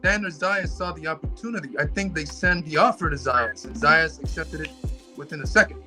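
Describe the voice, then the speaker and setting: A man from Puerto Rico, a guest talking casually about boxing on a video call, speaking in a relaxed and slightly halting way. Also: fairly high pitch, over music. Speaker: guest boxing channel creator.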